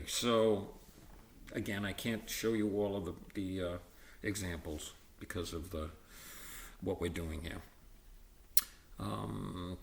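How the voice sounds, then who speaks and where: A man reads aloud from an educational book in a roomy, echoing space. His voice sits at a medium pitch, and his delivery is measured and explanatory, with the odd stumble and self-correction. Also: fairly bright tone. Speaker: volunteer-style book reader. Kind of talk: reading a textbook aloud with informal asides. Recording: roomy, echoey sound.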